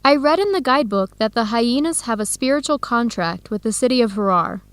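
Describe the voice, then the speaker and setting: Helen, a curious researcher played by a woman, higher-pitched. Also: lively intonation, a little fast, clean tone, no room sound, close to an everyday voice. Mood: interested. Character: Helen, warm curious American woman researcher seeking family. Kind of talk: scripted educational radio drama dialogue.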